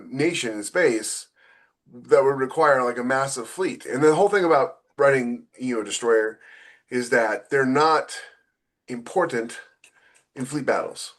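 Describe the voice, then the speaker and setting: A man chatting casually as a podcast co-host and author about his own work, haltingly thinking aloud and repeating phrases as he goes. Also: mid-range voice, fairly bright tone.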